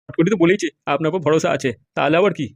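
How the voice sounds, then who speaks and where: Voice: mid-range voice, very fast, no echo, dull audio, close to an everyday voice. অভিনয়ে খুব দ্রুত কথা বলা তোষামুদে চ্যালা. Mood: eager, deferential. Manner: deferential, eager, reassuring. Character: fawning, gossiping sidekick of a bossy madam. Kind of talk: amateur cartoon comedy dialogue.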